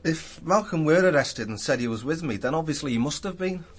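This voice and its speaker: Proud young artist, played by a man, higher-pitched. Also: expressive, brisk, noisy background, natural voice. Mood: cool, evasive. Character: proud, evasive, high-minded young male artist. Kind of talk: radio drama acting.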